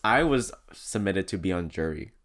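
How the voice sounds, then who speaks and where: A man's voice: deep voice, lively intonation, normal speed, fairly bright tone, a little hiss or hum. A young man co-hosting a podcast in casual chat, informal and blunt. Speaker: podcast co-host.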